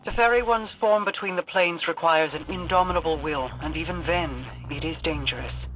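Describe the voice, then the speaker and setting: A woman playing a regal, cryptic and imperious otherworldly queen in a video game, speaking in measured, formal, oracular phrases. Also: low voice, a bit bright, a little hiss or hum, poor audio. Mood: cold, ominous. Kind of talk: scripted video game dialogue.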